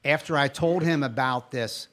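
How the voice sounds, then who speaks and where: Man, medium pitch, podcast co-host, casual conversation, enthusiastic, insistent. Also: moderate pace, heavy background noise.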